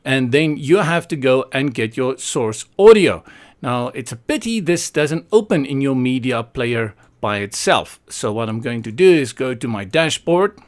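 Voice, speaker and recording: Male tutorial presenter from South Africa, mid-pitched, casual and playful, thinks aloud and gives frank opinions. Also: expressive, unhurried.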